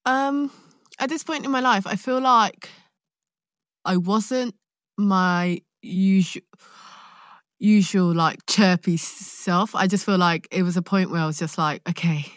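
A woman's voice: mid-range voice, unhurried, dry recording, clean studio sound. A woman from London with a London accent, chatting informally and expressively, reflective with playful asides. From London, England, born in Nigeria. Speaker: podcast guest, actor. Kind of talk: casual chat.

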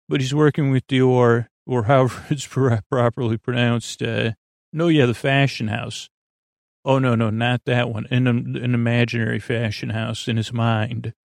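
A man's voice: medium pitch, gravelly, a little mellow, no echo, clean studio sound. A man hosting a sleep podcast, rambling through a meandering monologue full of restarts and tangents. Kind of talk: rambling late-night monologue.

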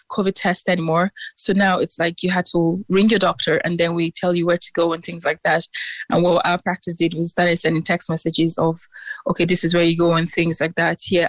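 A young woman doctor from Nigeria, chatting as a guest on a radio phone-in, lively and animated. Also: lowish voice, dry recording.

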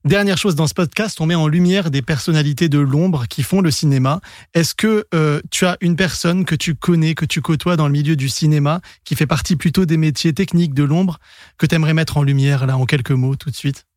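A man hosting a film podcast interview, asking short, brisk questions. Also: fairly high pitch, dry recording, studio quality. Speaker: podcast host, film journalist. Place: podcast studio.

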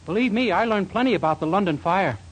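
A man's voice: high voice, expressive, quick pace. An actor playing a naive, bashful young man with an American accent, earnest and guileless, in 1940s radio sitcom style. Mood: naive, eager. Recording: hissy 1940s radio recording.

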